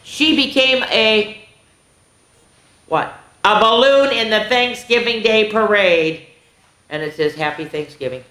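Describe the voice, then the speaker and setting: A woman hosting a children's story time, reading a picture book aloud expressively, with exclamations and asides to the young listeners. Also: mid-range voice. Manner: expressive, exclaiming, pausing to comment and ask the listeners. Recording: echoey room.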